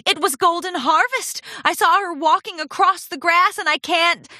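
A woman's voice: very high-pitched, slow, sharp tone, no echo, studio quality, pitched above the performer's own voice, full character voice. A woman voicing a vain, theatrical fashionista pony for a children's storybook, with refined, affected and easily wounded airs. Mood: anguished.

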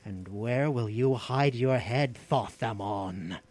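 A man's voice: mid-range voice, slow pace, darkish tone, no echo. A man voicing an ambitious, scheming outlaw in a fantasy audiobook, with an imperious, mocking, declaiming delivery. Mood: menacing. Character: ambitious, scheming, arrogant male outlaw plotter, impatient master. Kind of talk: dramatic fantasy audiobook dialogue.

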